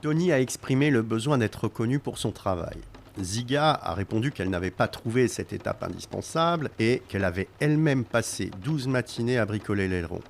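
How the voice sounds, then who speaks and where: A man's voice: medium pitch, expressive, brisk, creaky, slight background noise. A man playing an earnest, slightly disorganised mediation facilitator in an audio drama, formal and procedural. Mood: formal, neutral. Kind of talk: French audio drama dialogue.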